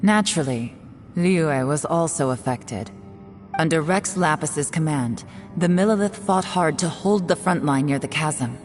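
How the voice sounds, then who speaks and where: Low, guarded secret agent. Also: female voice, expressive, music in the background. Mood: solemn. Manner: low, measured and guarded, with heavy sighs. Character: secretive composed young woman agent. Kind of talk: video game voice acting.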